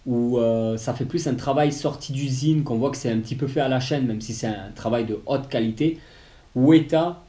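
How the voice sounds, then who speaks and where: A man's voice: mid-range voice, normal speed, warm tone. A man hosting a casual collectible review video, a bit congested from a cold and filling his speech with hesitant "euh"s. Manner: hesitant, many "euh" fillers and restarts. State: has a cold, low on voice.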